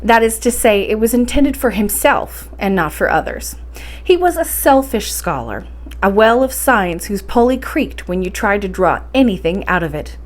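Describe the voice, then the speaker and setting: A woman reads and presents stories for a library bedtime session. Her pitch moves around in a lively way, and her delivery is expressive and encouraging. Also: moderate pace. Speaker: library storytime reader. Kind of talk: bedtime story reading and introduction.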